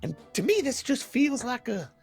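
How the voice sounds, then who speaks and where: A man's voice: very high-pitched, very animated, normal speed, creaky, soft background music, full character voice. A man playing a bookish, fussy wasteland hermit in an improvised game roleplay, hesitant and folksy, rambling and repeating himself. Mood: grumbly, earnest.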